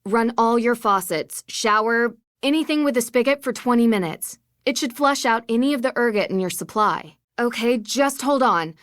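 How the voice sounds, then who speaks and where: A woman's voice: on the high side, animated, moderate pace, hardly any pauses, a bit bright, dry recording, clean studio sound. An actress playing a determined, level-headed young female detective in a video game, earnest and purposeful. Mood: resolute.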